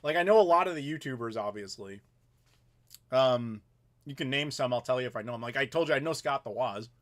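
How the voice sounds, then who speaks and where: Thirties male host, casual and rambling, reads out viewer comments in a middle-pitched voice. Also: lively intonation, a little fast, fairly bright tone. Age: 30s. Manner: rambling, chatty, reads viewer messages aloud. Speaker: YouTuber host. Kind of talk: casual live Q&A chat.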